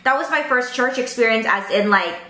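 A young woman with a bright, forceful, fairly high voice tells stories at a table, dropping "like" and "um" as she goes. She chats casually over a meal, and her voice rings through an echoey room. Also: a little fast. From Pennsylvania, US. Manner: chatty storytelling with many fillers. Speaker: mukbang host. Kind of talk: casual chat while eating.

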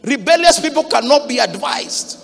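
A man in his thirties with a Kenyan accent, preaching a fiery sermon into a handheld mic in a church hall, shouting for emphasis. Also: very high voice, lively intonation, normal speed, vocal fry. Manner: fiery, shouting emphasis, mixes Swahili quotes.

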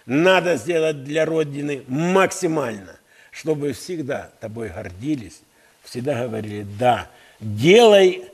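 A man in his sixties with a husky voice, talking at a slow, deliberate rate. He is an interview guest in a TV studio, speaking earnestly and emphatically, with halting, speech-like phrasing. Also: mid-range voice. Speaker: university rector, interview guest. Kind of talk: earnest patriotic interview talk. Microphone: headset.

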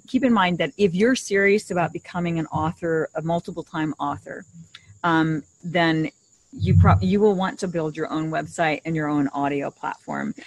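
A woman's voice: deep voice, low-quality recording. A middle-aged woman co-hosting a podcast, explaining things conversationally into a desktop microphone outdoors. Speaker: podcast co-host. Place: outdoors in a leafy garden. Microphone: desktop condenser microphone shared between two.

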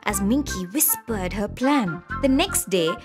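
A woman narrating a scripted children's story in an expressive, clearly enunciated storytelling manner. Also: mid-range voice, unhurried, loud music behind the voice.

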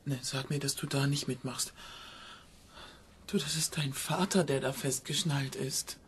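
A woman's voice: extremely low pitch, steady tone, measured pace, a little hiss or hum. An actress playing a worried, bewildered mother in a radio crime drama. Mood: alarmed, bewildered.

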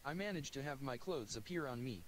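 A man reads a visual novel's story lines aloud at an even, plain pace from a desk at home. His pitch is middle of the road. A light hiss sits under the voice. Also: brisk, rough recording. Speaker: gaming YouTuber.

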